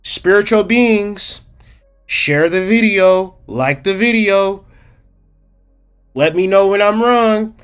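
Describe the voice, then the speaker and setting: A man hosting a casual livestream about psychology, streetwise and slangy, talking straight to his chat and slowing down to stress key points. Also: high-pitched, fairly bright tone, clear, resonant tone, soft background music. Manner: emphatic, slows down to stress points. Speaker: livestream host.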